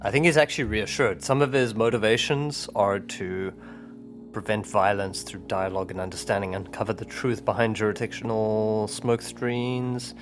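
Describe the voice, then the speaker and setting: A man's voice: fairly low pitch, steady tone, normal speed. A man chatting casually as a tabletop roleplaying player, describing his character's thoughts and actions. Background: instrumental music bed.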